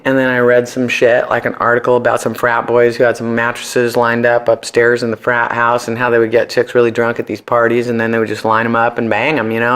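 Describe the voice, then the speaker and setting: A middle-aged person with an American accent tells stories candidly as an interview guest, in slangy, profane language, acting out the voices in arguments. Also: mid-range voice. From United States. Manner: animated, profane, slangy, acts out quoted arguments. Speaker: podcast guest, punk band singer.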